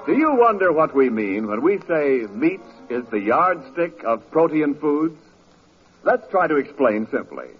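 A man's voice: on the high side, big pitch swings, unhurried, faint music behind. An American man announcing and narrating a scripted 1940s radio show. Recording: dull old radio broadcast recording.

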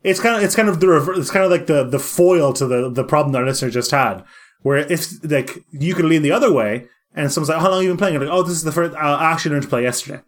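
A man co-hosts a podcast with rapid, eager talk that often stumbles into repeated words. His voice sits a little higher than most men's, and he speaks in quick bursts. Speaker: podcast co-host. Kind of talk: casual chat.

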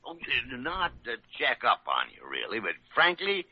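An actor playing a shrewd, wry old senior doctor who likes to tease, in a 1940s radio drama. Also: male voice, mid-range voice, huge pitch swings, normal speed. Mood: frank, friendly. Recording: muffled, noisy old radio recording.

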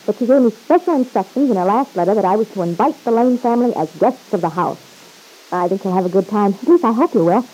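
An actress playing a breezy, wisecracking young nightclub hostess in an old-time radio serial. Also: female voice, mid-range voice, lively intonation, fast talker, dark tone, faint music behind, close to an everyday voice. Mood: breezy, friendly. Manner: playful, wisecracking, casual. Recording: muffled old radio broadcast recording.

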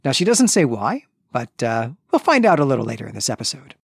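A man's voice: medium pitch, big pitch swings, brisk, vocal fry, slightly rough, no room sound, clean studio sound. A man co-hosting a literary podcast, explaining a story in detail with small self-correcting asides. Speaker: podcast co-host.

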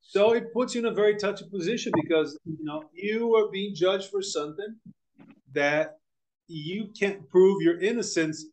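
A man from Brazil, a podcast guest, talking in a halting, filler-heavy way with many restarts. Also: sits high, darkish tone, poor audio. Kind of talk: casual podcast conversation.

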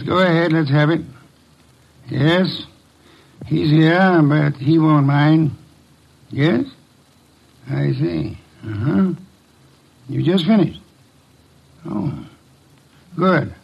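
Shrewd bossy detective from old radio show, highish voice, deliberate, muffled recording. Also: male voice, gravelly, exaggerated voice. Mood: brisk, satisfied. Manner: deliberate, authoritative questioning. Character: shrewd, commanding middle-aged male detective boss. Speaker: radio drama actor. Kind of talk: classic radio detective drama acting.

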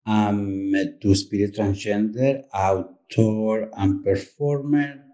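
A man from Chile with a Chilean Spanish accent, a native Spanish speaker, speaking as an author in a podcast interview, halting and searching for words. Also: fairly low pitch, fairly even intonation, warm tone. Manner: halting, searching for words, frequent "you know what I mean". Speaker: author and performer, guest.

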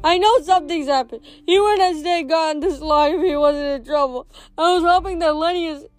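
A woman's voice: sits high, normal speed, faint music behind, pitched above the performer's own voice, lightly acted. A woman voicing a young female tree nymph who is tearful and sniffling, with a pleading tone. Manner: pleading, then defiant.

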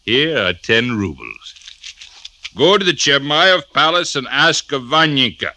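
An actor playing a boastful, domineering Russian blackmailer drinking at an inn, swaggering and emphatic, in a radio drama. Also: male voice, mid-range voice, big pitch swings, measured pace, pushed and bright, dry recording, exaggerated voice. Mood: commanding. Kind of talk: classic radio drama acting.

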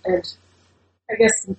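A woman from Indonesia speaking as a scholar in an interview, explaining her work in an articulate, discursive way. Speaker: interview guest, scholar and book author. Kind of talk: academic interview, explanatory talk.

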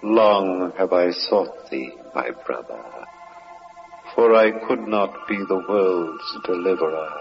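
Deep, slow, forgiving saviour. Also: male voice, expressive, heavily acted. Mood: solemn compassion. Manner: solemn, measured, tender. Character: divine male saviour, solemn, loving, all-forgiving. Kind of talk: 1940s American radio drama acting. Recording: muffled old radio with loud music.

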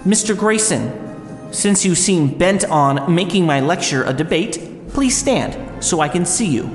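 A man acting as an arrogant audio drama professor, his delivery swooping and theatrical. He lectures with deliberate emphasis and an intense fixation on fear. The voice rings in a big reverberant hall, with faint music underneath. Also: high voice, animated. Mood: smug, menacing.

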